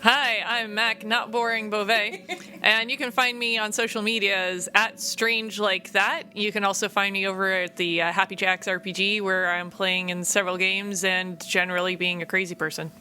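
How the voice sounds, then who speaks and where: Woman player, bright forward voice, brisk and playful. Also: medium pitch, flat delivery, slight background noise. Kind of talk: tabletop roleplay, casual chat.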